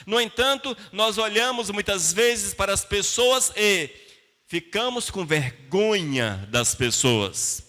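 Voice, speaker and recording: Brazilian guy preaching, bright forceful voice, big echoey hall, plain talk with rhetorical questions. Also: high voice, expressive, takes it slowly. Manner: didactic, with rhetorical questions and short emphatic phrases; plain colloquial speech. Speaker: pastor preaching. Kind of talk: sermon to a congregation. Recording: long hall reverb.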